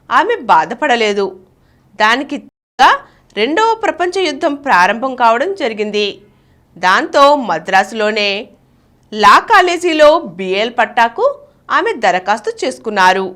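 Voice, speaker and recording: A woman's voice: on the high side, fairly bright tone. A woman reading a scripted narration in a storytelling style.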